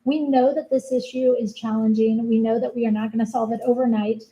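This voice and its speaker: A woman giving a soft-spoken, earnest presentation as a city program manager at a town hall meeting. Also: fairly high pitch, steady tone, a little fast, highs cut off. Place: community meeting room.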